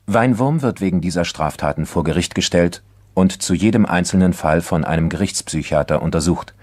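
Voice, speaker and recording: A sober male crime narrator with a very low voice in a dry recording. He delivers a scripted case report in formal, measured phrases. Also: darkish tone, no room sound. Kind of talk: scripted documentary crime radio-drama narration.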